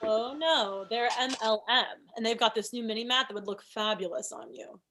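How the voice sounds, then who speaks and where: Bright-voiced, needy actress girlfriend woman acting. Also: fairly high pitch, moderate pace, slight background noise. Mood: chirpy. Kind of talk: comedy script table read.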